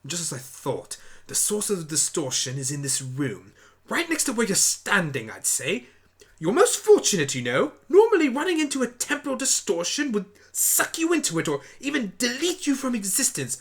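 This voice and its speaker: A man voicing an excitable, brainy male pony time traveler, wordy and theatrical, in an amateur audio drama. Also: sits high, moderate pace, husky, pitched above the performer's own voice, exaggerated voice. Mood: puzzled, musing.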